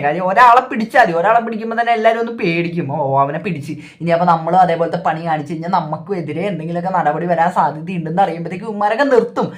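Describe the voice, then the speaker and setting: Kerala accent reaction host, talks really fast, casual opinionated rant style, keeps repeating points. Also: mid-range voice. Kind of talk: casual spoken commentary.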